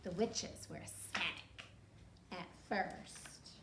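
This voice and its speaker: A woman reading a picture book aloud to children in an expressive, playful way, with gasps and asides. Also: lowish voice, slow pace, creaky. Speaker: library storytime reader. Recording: roomy echo, faint background noise.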